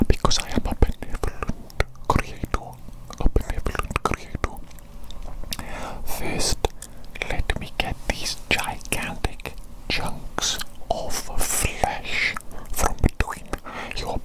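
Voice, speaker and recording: A performer voicing a pompous evil mad doctor in a whispered ASMR roleplay, deliberate and theatrical, repeating phrases. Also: a bit bright, low-quality recording. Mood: pompous, scolding. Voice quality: breathy unvoiced whisper. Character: pompous evil mad doctor tending his monstrous creation.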